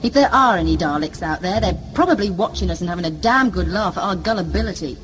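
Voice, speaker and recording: A woman's voice: fairly low pitch, animated, fast talker, background noise, close to an everyday voice. A woman playing a sardonic, scornful scavenger crew member in a science fiction audio drama, sarcastic and cutting. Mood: sceptical.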